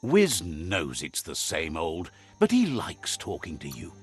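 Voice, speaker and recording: An actor playing a wry, fond storybook narrator in a game, with a measured storyteller's delivery. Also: male voice, low voice, wildly swooping pitch, faint music behind, full character voice. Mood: wry, friendly. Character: male storybook narrator relaying creatures' words, wry and fond.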